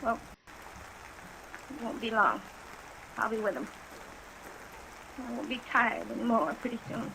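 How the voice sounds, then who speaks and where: A woman's voice: fairly high pitch, measured pace, creaky, pauses often, noisy background. An actress playing a desperate, bitter, fearful young woman in a 1950s radio drama, emotional and plaintive, on a vintage recording. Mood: weary, despairing. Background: steady rain sounds.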